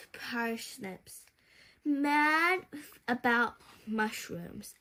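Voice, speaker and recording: A woman reading a children's bedtime story aloud, haltingly, with small stumbles and repeated words. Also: fairly high pitch, a bit bright.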